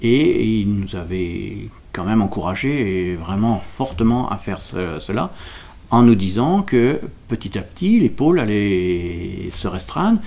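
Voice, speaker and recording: Low-voiced man explaining, searching for words. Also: big pitch swings, measured pace, creaky, warm tone. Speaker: local representative explaining a village school project. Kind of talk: explanatory interview answers.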